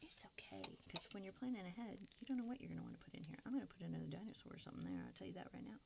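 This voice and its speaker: A woman with a creaky voice rambles casually and candidly to her viewers, poking fun at herself and half talking to herself as she crafts at a table. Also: lively intonation, very fast, darkish tone, lo-fi sound. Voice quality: low, creaky voice. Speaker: craft vlogger. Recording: noisy room recording with background hiss.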